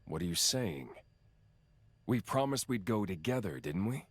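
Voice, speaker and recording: A man playing a gruff, informal comrade-in-arms in a video game cutscene, blunt and colloquial. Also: fairly low pitch, big pitch swings, a little slow, no echo, heavily acted. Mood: uneasy concern.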